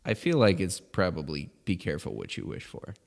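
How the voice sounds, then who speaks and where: A man's voice: sits low, expressive, a little fast. A man co-hosting a podcast, chatting casually in reaction, with a laid-back, dryly joking manner. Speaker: podcast co-host. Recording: long reverb, hall-like room.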